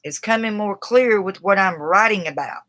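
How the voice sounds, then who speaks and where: A woman with a Southern drawl talks loosely and off the cuff, her voice bright and clear. She rambles a bit and breaks off to greet viewers by name in an easygoing livestream chat. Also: mid-range voice, normal speed. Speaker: writer discussing a book on a livestream. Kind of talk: casual livestream talk. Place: outdoors.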